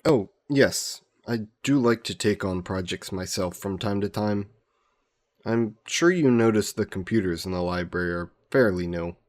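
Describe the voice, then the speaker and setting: A man playing an old aristocratic vampire in a romantic audio roleplay, speaking in formal, genteel, measured phrases. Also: on the low side, lightly acted. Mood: warm, flirtatious. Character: ancient aristocratic male vampire, courtly, protective, gently teasing.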